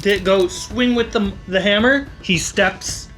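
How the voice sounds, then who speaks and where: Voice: mid-range voice, takes it slowly, fairly bright tone. Game master over a music bed. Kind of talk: narrating a tabletop game. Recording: music under the voice.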